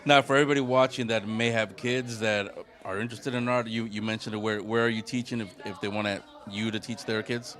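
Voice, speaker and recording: A man's voice: fairly low pitch, fairly even intonation, fairly quick, few pauses, a bit bright, a faint crowd. A man hosting an interview at an art gallery, friendly and a little stammering as he restarts his questions. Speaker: interviewer, host. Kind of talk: casual interview.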